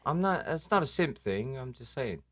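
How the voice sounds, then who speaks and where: A man's voice: medium pitch, big pitch swings, normal speed, fairly bright tone, no room sound. A man hosting a casual live stream, joking and self-deprecating, swearing now and then. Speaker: livestream host. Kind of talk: casual livestream chat.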